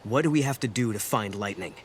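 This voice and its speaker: An actor playing an earnest, steadfast young hero in a video game cutscene. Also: male voice, lowish voice, animated, fast, a little hiss or hum, lightly acted. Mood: questioning.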